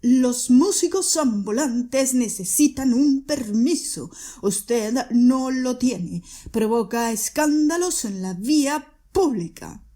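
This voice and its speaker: A woman voicing a calm, stern male official who speaks slowly and in a hushed, measured way. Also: on the high side.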